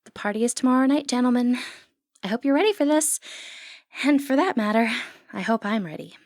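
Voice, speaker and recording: A sly female thief from a fantasy ASMR roleplay, acted by a woman. Her voice sits fairly high and is very dry, with no room around it. She talks with wry confidence and a flirtatious, teasing edge, like someone used to running the scheme. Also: animated, a little fast, no echo. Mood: coy, businesslike.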